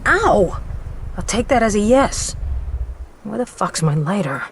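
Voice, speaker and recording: A woman's voice: mid-range voice, very animated, unhurried, noisy background. An actress playing a tough, foul-mouthed young woman held captive in a video game, defiant, sarcastic and urgent. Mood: irritated, urgent. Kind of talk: video game cutscene acting.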